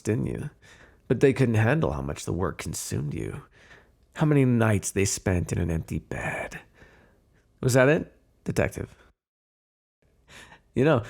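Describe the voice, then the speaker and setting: A smooth-talking mob boss played by a man in an ASMR roleplay, teasing and quietly threatening. The voice has a gravelly creak and swings freely up and down in pitch. Phrases are drawn out with flirtatious insinuation. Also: heavily acted. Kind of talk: ASMR roleplay voice acting, one-sided dialogue.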